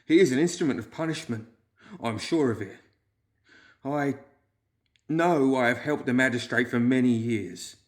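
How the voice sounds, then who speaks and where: A man's voice: mid-range voice, moderate pace, pauses often, lightly acted. A man voicing a frightened, nervous male clerk who whimpers and wails. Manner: whimpering, wailing.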